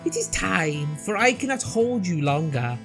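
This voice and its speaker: A man's voice: high voice, very animated, normal speed, over music, pitched above the performer's own voice. A man voicing a stern, imperious female demon in a storybook tale, speaking in a grandiose, commanding way. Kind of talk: storybook character voice.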